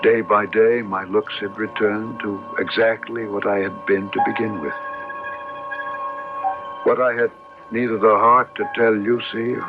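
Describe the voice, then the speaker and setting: An actor playing a haunted, possessed man in an old-time radio drama, grave, halting and dramatic. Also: on the low side. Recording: muffled old radio broadcast, music behind.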